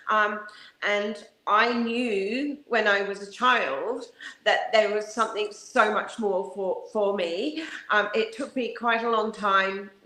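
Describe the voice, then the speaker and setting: A woman's voice: mid-range voice, pushed and bright. A woman from Western Australia, a mentor introducing herself on a video call, bubbly and passionate, laughing often. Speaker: guest, marketer and mentor. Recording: echoey room.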